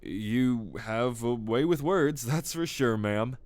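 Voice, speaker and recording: A man's voice: medium pitch, takes it slowly, darkish tone, no room sound, lightly acted. A man playing an easygoing, polite young brother in an audio roleplay, casual and courteous.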